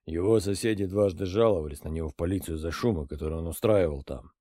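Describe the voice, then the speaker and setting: A man reading an audiobook, voicing a composed middle-aged intelligence colonel who reports in a measured, matter-of-fact way. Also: low-pitched, mellow, dry recording, clean studio sound, voice pushed down. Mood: even.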